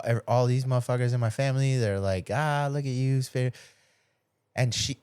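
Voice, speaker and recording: A middle-aged man chats as a podcast host in a medium-pitched voice. He talks informally, recounting stories and slipping into quoted dialogue, with some swearing. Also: no room sound. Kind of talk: casual chat, storytelling.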